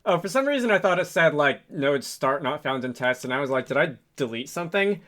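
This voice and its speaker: A man hosting a casual programming tutorial, thinking aloud in a halting, hesitant way as he works through code on screen. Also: a little high, animated, a bit bright. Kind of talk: casual screen-recorded coding walkthrough.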